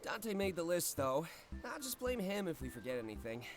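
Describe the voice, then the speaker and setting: A man's voice: fairly high pitch, very animated, moderate pace. An actor playing an easygoing, slightly sheepish young man in a game, proud of his vintage car. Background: game music.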